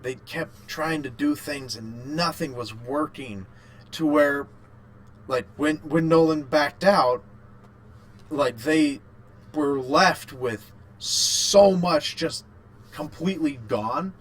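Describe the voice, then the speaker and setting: A man co-hosting a podcast in casual chat, rambling and haltingly repeating his phrases. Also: a little high, rough recording. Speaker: podcast co-host. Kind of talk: casual chat about video games.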